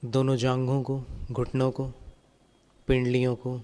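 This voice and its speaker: Slow, mellow male meditation guide. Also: mid-range voice, clear, resonant tone. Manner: calm, gentle, unhurried step-by-step instruction. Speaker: Jain monk and meditation teacher.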